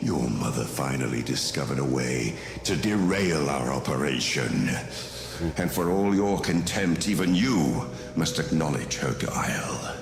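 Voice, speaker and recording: Menacing ancient dark overlord, cunning, gravelly, swooping intonation, echoing, music behind, audio drama. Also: male voice, a bass voice, expressive, noisy background, full character voice. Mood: scornful, calculating. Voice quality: gravelly, creaky. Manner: slow, deliberate, ominous, imperious. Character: menacing ancient reptilian dark-side master, cunning and contemptuous. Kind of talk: audio drama villain acting.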